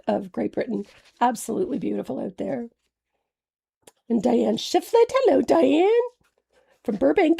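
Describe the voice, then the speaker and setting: Hoarse woman doing a live craft demo, gravelly and kinda husky, higher voice, chatty w viewers. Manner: chatty, greets viewers by name, warm fillers. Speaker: craft painting instructor.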